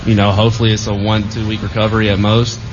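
A young man, a football player guest, giving halting answers full of fillers in a casual interview. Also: fairly low pitch, monotone. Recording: dull lo-fi with clear background noise.

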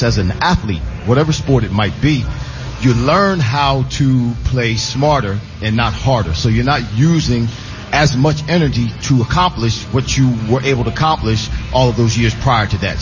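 A middle-aged man, a former pro football player, explaining plays in a measured way on a sports talk show. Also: medium pitch. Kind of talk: casual sports radio chat. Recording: slightly muffled broadcast audio.

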